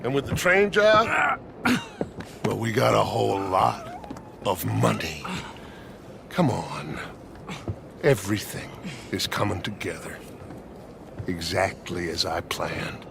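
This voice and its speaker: An actor playing a grandiose, scheming middle-aged American outlaw leader, theatrical and commanding, in a dramatic video game performance. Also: male voice, on the low side, big pitch swings, slow pace, gravelly, long pauses, background noise, heavily acted. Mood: smug, urgent.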